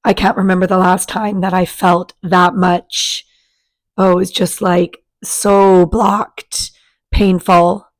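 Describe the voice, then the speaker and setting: A woman, raspy after a sinus virus, giving a casual spiritual energy forecast to her channel in a gentle, chatty way. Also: on the low side, level tone, takes it slowly. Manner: gentle, chatty, with many fillers and restarts. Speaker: spiritual coach and channel host.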